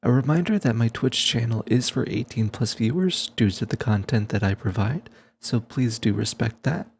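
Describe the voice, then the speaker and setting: A man's voice: medium pitch, moderate pace, vocal fry, darkish tone, slight background noise. A man who makes ASMR videos, giving a scripted announcement to his viewers. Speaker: ASMR voice actor and streamer.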